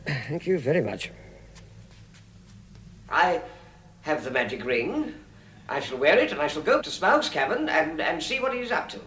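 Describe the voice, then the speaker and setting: A plucky, fussy little hobbit in an old radio play. His lively voice is polite and flustered, with faint noise behind it. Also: fairly high pitch, a little slow, pauses often. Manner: flustered, indignant, polite, hesitant. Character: fussy, nervous yet plucky middle-aged male hobbit. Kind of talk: vintage radio drama.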